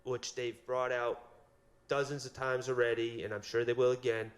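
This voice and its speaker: A man speaking as a defense attorney, making a measured, halting legal argument in court. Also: medium pitch, level tone.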